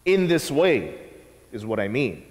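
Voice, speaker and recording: A man in his thirties preaching a sermon from the stage of a church sanctuary, teaching with lively asides. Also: mid-range voice, a little slow. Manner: animated teaching with acted quotes and asides. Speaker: pastor. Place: church sanctuary, large indoor room. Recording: long reverb of a church hall.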